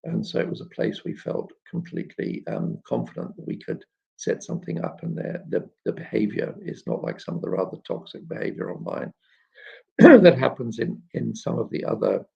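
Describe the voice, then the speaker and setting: A man with a very creaky, gravelly voice talks informally to a live online audience. His delivery is halting and explanatory, yet his pitch moves up and down in a lively way. The sound is slightly dull and muffled, like a lo-fi stream. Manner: halting, explanatory, frequent throat clearing. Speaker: children's author and illustrator hosting a live chat. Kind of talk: informal live question-and-answer talk.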